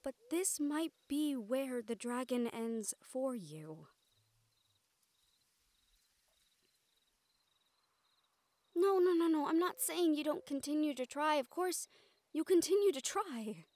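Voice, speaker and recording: A woman's voice: sits high, very animated, unhurried, darkish tone, dry recording. A woman acting a caring, encouraging siren-like mentor in an intimate audio roleplay, with a tender, earnest manner. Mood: earnest, insistent.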